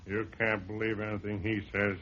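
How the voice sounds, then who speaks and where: Wary, folksy handyman, vintage radio drama; lowish raspy voice, plain-spoken and deferential, muffled recording. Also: little pitch movement, normal speed, fairly bright tone. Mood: matter-of-fact, skeptical. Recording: dull old radio recording.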